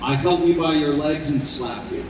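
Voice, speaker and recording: A man playing a kindly, grave doctor in his fifties in an old time radio drama, gentle and deliberate. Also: on the high side, lightly acted. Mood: warm recognition. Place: convention hall stage. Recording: echoey hall, distant mic, faint noise.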